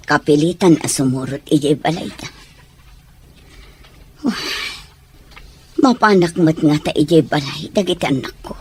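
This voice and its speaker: A woman's voice: lowish voice, darkish tone, slight background noise. A woman playing an ailing, dependent middle-aged mother in an audio drama, speaking plaintively. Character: ailing, dependent mother worried about dialysis. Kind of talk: Ilocano audio drama acting.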